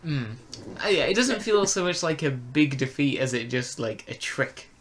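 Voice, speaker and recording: Bright-voiced man in casual review chat. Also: mid-range voice, moderate pace, slight background noise. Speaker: podcast reviewer.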